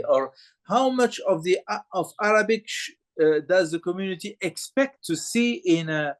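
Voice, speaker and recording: A man from Morocco interviewing filmmakers, speaking haltingly with many fillers and restarts. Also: sits high.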